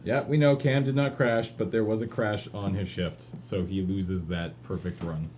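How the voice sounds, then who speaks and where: Low-voiced man chatting casually. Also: steady tone, normal speed, background noise. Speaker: event host. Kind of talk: casual chat.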